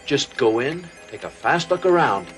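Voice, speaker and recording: An actor playing a grave, protective general who speaks with earnest command in a science fiction television drama. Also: male voice, mid-range voice, lively intonation, normal speed, music in the background, lightly acted.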